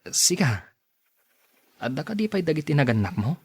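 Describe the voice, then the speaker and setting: Man playing a soft-edged, breathy young Ilocano suitor. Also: a little high, very animated, normal speed, no echo, exaggerated voice. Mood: courting, friendly. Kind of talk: Ilocano radio drama acting.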